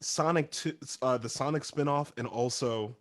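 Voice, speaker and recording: A man's voice: mid-range voice, normal speed, no echo, studio quality. A man on a podcast panel, giving emphatic opinions in a casual, chatty way.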